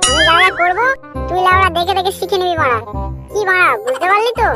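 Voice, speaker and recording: A man voicing a cocky, foul-mouthed young womanizer in a comic cartoon, with a pitched-up voice and a brash, bossy manner. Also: lively intonation, quick pace. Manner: brash, bossy, swearing constantly. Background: loud jingly children's music.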